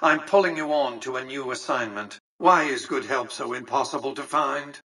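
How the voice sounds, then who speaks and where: Calculating old tycoon, crisp formal diction, haughty, condescending, sharp forceful tone, slightly raspy, video game dialogue. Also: male voice, mid-range voice, normal speed, distant mic. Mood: displeased, condescending. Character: imperious, calculating, aged male tycoon of a post-apocalyptic casino city.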